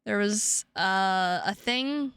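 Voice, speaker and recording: A tired woman chatting casually to her livestream viewers in a halting, rambling way with drawn-out words. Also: mid-range voice, fairly bright tone, clear, resonant tone, no room sound. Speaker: streamer.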